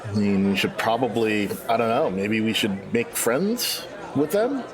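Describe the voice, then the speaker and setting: A man's voice: fairly low pitch, expressive, a little slow, crowd noise. A man playing in a tabletop role-playing game, easygoing and agreeable in casual chat. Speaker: player.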